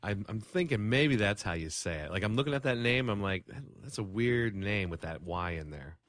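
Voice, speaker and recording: A man's voice: lowish voice, expressive, normal speed, fairly bright tone, no room sound, clean studio sound. A man co-hosting a podcast while recovering from a cold. He chats casually, laughs often and adds joking asides as he recaps an episode. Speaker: podcast co-host.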